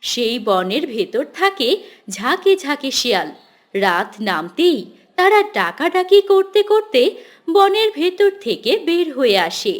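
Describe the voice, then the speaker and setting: A woman's voice: high-pitched, very animated, a little slow. A woman narrating a scripted children's cartoon story as its storyteller.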